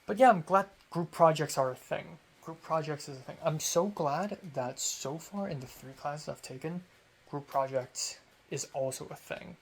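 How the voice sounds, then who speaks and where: A man's voice: fairly high pitch, normal speed, gravelly, breathy edge, low-quality recording. A young man, a college student, telling a rambling, self-amused story in a casual vlog. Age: young adult.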